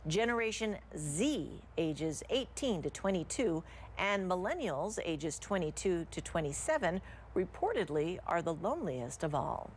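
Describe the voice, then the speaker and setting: American woman, TV news anchor, slightly low voice, scripted read, faint noise behind. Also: sing-song, unhurried.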